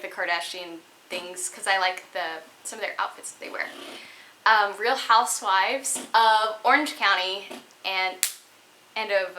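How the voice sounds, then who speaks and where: A woman's voice: mid-range voice, sharp tone, a little hiss or hum, rough recording. A woman in her twenties casually answering viewer questions at home, chatty and giggly with lots of ums and likes. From Texas. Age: 20s. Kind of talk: casual chat. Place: home room indoors. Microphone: webcam at desk.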